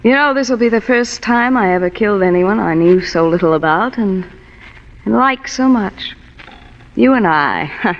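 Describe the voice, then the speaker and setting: An actress playing a scheming, murderous blonde femme fatale, smooth and pleading, in 1940s radio acting style. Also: female voice, medium pitch, lively intonation, normal speed, slight background noise, close to an everyday voice. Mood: menacing regret. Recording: dull vintage radio recording, long hall reverb.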